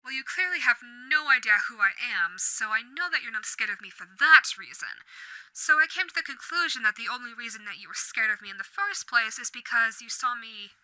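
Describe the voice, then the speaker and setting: A gleaming, theatrical female demon croons with lilting menace, as a woman acts her out. Her voice sits a little high and very bright, jumping up and down in pitch. The recording is dry and close, like a storybook audio drama. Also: no room sound, poor audio. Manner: theatrical, silky and mock-polite. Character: female Radio Demon, suave, menacing yet doting. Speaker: voice actor. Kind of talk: audio roleplay acting.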